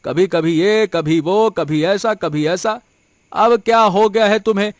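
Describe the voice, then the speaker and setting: A man's voice: high-pitched, a little slow, no echo, studio quality. A man voicing a rude, impatient village eatery owner in a Hindi cartoon, brusque and nagging. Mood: irritated. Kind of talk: Hindi cartoon story dialogue.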